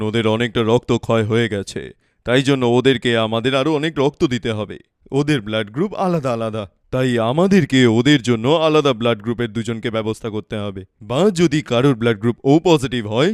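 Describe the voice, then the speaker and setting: A man's voice: medium pitch, lively intonation, normal speed, no room sound, clean studio sound, natural voice. A man voicing a serious hospital doctor in a cartoon story, formal and explanatory. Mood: grave. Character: urgent, serious adult male hospital doctor.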